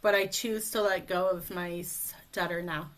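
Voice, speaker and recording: A woman speech coach leading a guided tapping session, speaking slowly and calmly in short phrases for a client to repeat, over a video call. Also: fairly low pitch. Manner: slow, calm, leads phrases for repetition.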